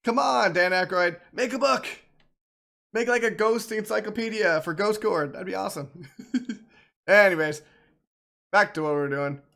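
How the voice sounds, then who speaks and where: A man's voice: sits high, a little slow, creaky, long pauses, pushed and bright. A man giving casual, rambling game commentary as a streamer, often repeating his phrases. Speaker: gaming streamer.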